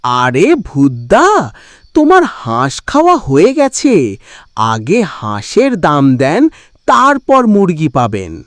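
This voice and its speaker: A man's voice: a little high, huge pitch swings, slow pace, no echo, clean studio sound, full character voice. A man voicing a cheeky, wily villager in a cartoon. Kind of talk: cartoon voice acting.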